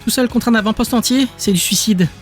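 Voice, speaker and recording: A woman's voice: fairly high pitch, brisk, faint music behind, close to an everyday voice. A woman playing a determined, reproachful wife in dialogue from an audiobook drama. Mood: alarmed. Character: determined, reproachful wife who tracked her husband.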